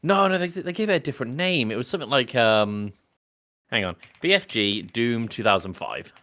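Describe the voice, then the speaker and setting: A man's voice: mid-range voice, normal speed, pushed and bright. A man co-hosting a film podcast, explaining things casually with wry, opinionated asides. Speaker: podcast co-host.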